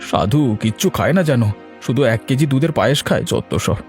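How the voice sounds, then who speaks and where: A man as a fast-talking, exasperated henpecked husband. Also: mid-range voice, animated, music in the background, close to an everyday voice. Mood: exasperated grumbling. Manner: grumbling, aggrieved. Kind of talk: cartoon fairy-tale voice acting.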